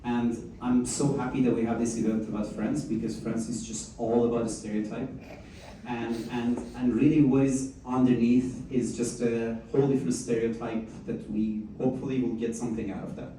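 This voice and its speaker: A man's voice: mid-range voice, normal speed. A man hosting a live meetup, chatting casually and coaxing the audience to join in. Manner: animated, chatty, coaxing the crowd. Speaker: event host. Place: event room with audience. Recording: echoey room heard from far off, faint noise.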